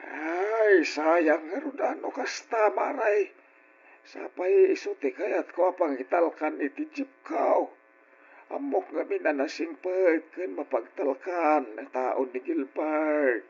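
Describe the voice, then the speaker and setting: A man's voice: a little high, expressive, slow, husky, exaggerated voice. A man playing a concerned family friend with an Ilocano accent in an old radio drama. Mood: concerned, sympathetic. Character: concerned male family friend, jeepney owner seeking a driver. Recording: dull old radio drama, faint noise.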